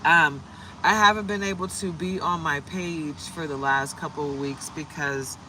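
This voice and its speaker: A hoarse woman from the United States doing a casual, upbeat tarot livestream and greeting viewers by name. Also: low voice, moderate pace, noisy background. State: hoarse from shouting. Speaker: tarot reader.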